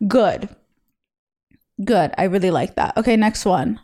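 A woman's voice: medium pitch, expressive, unhurried, warm tone. A woman hosting a confession show, reacting bluntly with swearing and giving encouraging advice. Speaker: host. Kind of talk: casual reaction talk.

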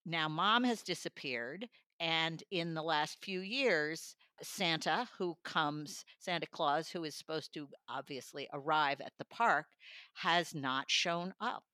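A woman talks through a book review in a conversational way. Her voice is pitched low yet sounds bright and crisp-edged, recorded very dry. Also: takes it slowly, no room sound. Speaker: audiobook reviewer, studio guest.

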